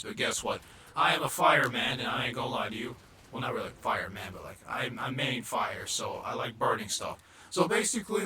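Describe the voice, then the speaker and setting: Fast-talking man with a robotic-sounding voice. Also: mid-range voice, sharp tone, echoey room, rough recording. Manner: chatty, keeps repeating "not gonna lie". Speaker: gaming video creator. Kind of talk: casual gaming tier-list commentary.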